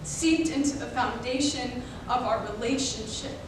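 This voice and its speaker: Young woman, high voice, slow emphatic stage monologue, broken phrasing, very echoey noisy room. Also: recorded from a distance. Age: young adult. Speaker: college speech competitor. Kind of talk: dramatic readers theater monologue. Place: indoor performance room, recorded from afar.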